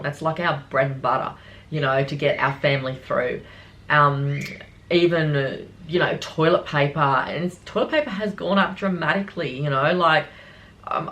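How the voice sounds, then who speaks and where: A middle-aged woman with a croaky voice from a cold, chatting casually and rambling to her viewers about groceries. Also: sits low, fairly bright tone. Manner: chatty, rambling, many fillers. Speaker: vlogger. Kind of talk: casual chat to camera.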